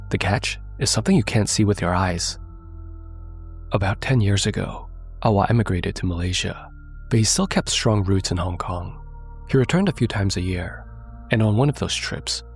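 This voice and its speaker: A man hosting and narrating a horror story in a measured, suspenseful way, sounding congested from a cold. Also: deep voice, creaky. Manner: measured, suspenseful delivery, addressing viewers directly. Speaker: narrator and host.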